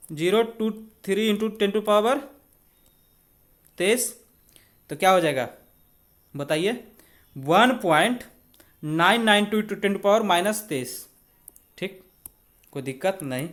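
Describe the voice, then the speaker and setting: A man's voice: high-pitched, a bit bright. An Indian man tutoring exam students in a conversational way, often asking rhetorical questions as he works step by step. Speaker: exam coaching teacher. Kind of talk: spoken maths and chemistry tutorial.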